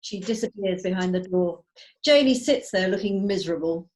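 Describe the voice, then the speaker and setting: A woman's voice: medium pitch, normal speed, a little mellow, poor audio. A woman giving scripted narration, reading out stage directions on a video call.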